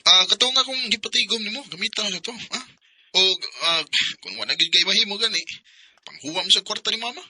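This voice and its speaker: A man's voice: sits high, animated, fairly quick, pauses often, background noise. A man acting in a Bisaya radio drama as an eager, sweet-talking young working-class boyfriend, with a Filipino Bisaya accent. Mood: evasive.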